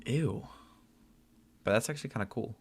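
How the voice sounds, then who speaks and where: A man's voice: mid-range voice, moderate pace, gravelly, heavy background noise. A man hosting a reaction video, talking casually in informal, slangy speech. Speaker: YouTube reaction host.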